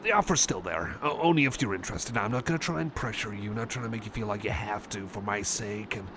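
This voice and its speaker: A man's voice: on the low side, fast talker, noisy background, lightly acted. A man voicing a shy, anxious, affectionate young male cyborg friend, stammering and apologetic in an intimate ASMR roleplay. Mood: relieved, tentative. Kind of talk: ASMR roleplay, intimate one-sided chat.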